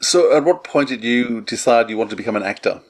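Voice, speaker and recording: A man's voice: medium pitch, a little fast. A man hosting a podcast, putting questions to a guest in a casual, conversational way. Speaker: podcast interviewer.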